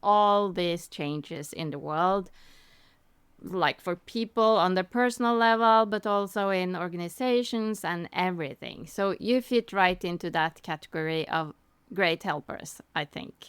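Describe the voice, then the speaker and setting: A woman hosts a podcast interview in a friendly, warm manner, often pausing and restarting her questions. Her voice is smooth and clear with a slightly low pitch, recorded close and dry. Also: dry recording. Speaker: podcast host.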